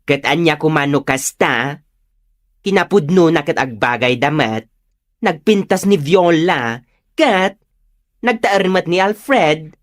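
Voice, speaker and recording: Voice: medium pitch, animated, takes it slowly. An actor of unclear sex playing a chatty village neighbour passing on gossip in a comedy audio drama. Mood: confiding.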